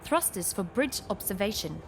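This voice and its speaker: A woman's voice: medium pitch, big pitch swings, normal speed, close to an everyday voice. A woman voicing a composed female spaceship AI for a space game, confirming commands in a formal, clipped, efficient way. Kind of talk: scripted voice assistant responses for a space game. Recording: music playing behind the voice. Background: music.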